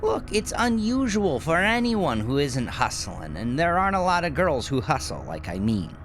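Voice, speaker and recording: A man voicing a cocky, fast-talking male hustler with a stammering, profane, earnest manner in a horror audio drama. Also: fairly high pitch, big pitch swings, fairly bright tone, slight background noise, pitched above the performer's own voice. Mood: flustered, defensive.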